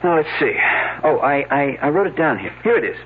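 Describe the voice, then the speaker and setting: A man's voice: a little high, very animated, fairly quick, a little hiss or hum. An actor playing an earnest young man working at a dig, who stammers when flustered. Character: earnest young male dig worker, eager and a bit flustered. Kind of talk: 1970s radio drama acting. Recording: tinny old radio broadcast.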